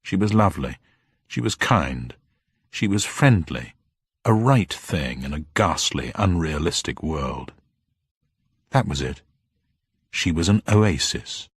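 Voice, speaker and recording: Male narrator, husky, dry studio sound, scripted detective fiction, expressive delivery. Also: rumbling low, slow pace, pauses often, no echo.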